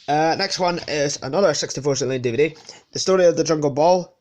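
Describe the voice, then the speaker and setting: A man's voice: on the high side, quick pace, darkish tone, a little hiss or hum. A man with a sniffly cold casually presenting his collection unscripted, hesitating and correcting himself as he goes. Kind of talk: casual unscripted show-and-tell.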